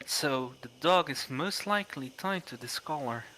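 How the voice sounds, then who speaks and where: A man's voice: on the high side, lively intonation, normal speed, bright, forceful tone, a little hiss or hum, low-quality recording. A man reading a horror visual novel aloud on a livestream, with casual commentary in between. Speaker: livestreamer playing a horror game.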